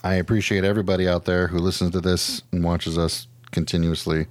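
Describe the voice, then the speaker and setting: A man's voice: low-pitched, level tone, brisk, no echo. A man co-hosting a podcast in casual, comedic chat, rambling and profane, often poking fun at himself. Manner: profane, self-deprecating, rambling. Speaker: podcast co-host.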